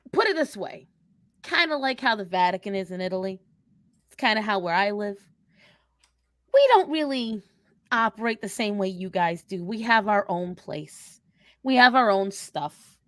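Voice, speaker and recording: A woman's voice: fairly high pitch, fairly bright tone, no echo, studio quality. A woman voicing a brash, sassy young woman spellcaster who teases and swears in improvised banter. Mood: explaining. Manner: cocky, mocking, teasing, profane.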